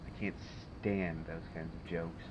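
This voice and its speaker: Through a dull, noisy recording comes a sardonic male supervillain acted by a man in an intimate audio roleplay. His voice is lowish and slow. He is testy and haughty, hesitating in a dry, exasperated way. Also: level tone.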